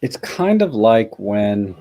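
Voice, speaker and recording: Scratchy, creaky-voiced low male livestreamer. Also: big pitch swings, takes it slowly, warm tone. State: hoarse after a night out. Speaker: livestream host. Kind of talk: casual live chat, reading out and answering comments.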